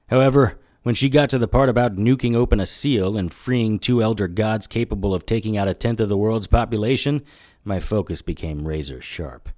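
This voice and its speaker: An actor playing a wry, hard-boiled monster hunter in a noir audio drama. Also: male voice, deep voice, a little mellow, close to an everyday voice. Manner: wry, sardonic. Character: cynical hard-boiled male monster hunter, wisecracking and crude. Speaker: narrator and lead actor.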